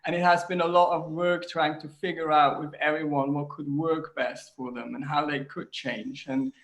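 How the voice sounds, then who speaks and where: Man from Switzerland, English speaker, halting speech full of ums, home kitchen, video call. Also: a little high, level tone, fairly bright tone. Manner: hesitant, many fillers and restarts. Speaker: designer, interview guest. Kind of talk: casual video-call interview.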